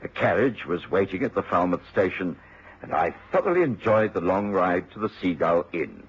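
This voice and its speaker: Old muffled radio drama, hearty English doctor, British male, low-pitched, narrator's delivery. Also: animated, moderate pace. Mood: measured narration. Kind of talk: mid-century radio drama acting and narration. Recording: dull, noisy old radio recording.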